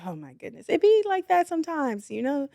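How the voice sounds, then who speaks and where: A woman's voice: high-pitched, a little fast, creaky, no echo, clean studio sound. Slangy playful woman host. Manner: expressive, slangy, playful, swears freely. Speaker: interview host. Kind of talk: casual conversational interview.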